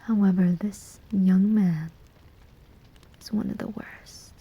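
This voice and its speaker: A sly female demon, acted by a woman in a whispered ASMR roleplay. Her voice is very soft, dark and heavily breathy, and she takes her time, taunting with quiet menace and deliberate, teasing phrasing. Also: medium pitch, steady tone, lo-fi sound. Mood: disdainful.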